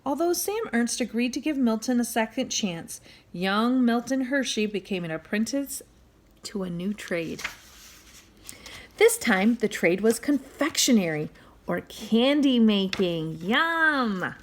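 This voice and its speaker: Animated, high-voiced woman reading to children. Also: unhurried, a little hiss or hum. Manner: animated, addresses young listeners with questions and asides. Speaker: storyteller reading a children's book aloud. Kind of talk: expressive read-aloud for children.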